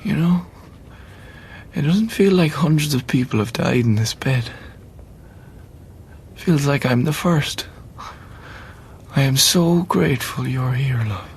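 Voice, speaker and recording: A terminally ill man in an intimate radio drama. His voice is middling in pitch and full of creak. He talks tenderly and wryly, at peace, over faint background noise. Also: slow, long pauses. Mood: tender, grateful. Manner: gentle, reassuring, wry. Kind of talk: radio play acting, intimate dialogue.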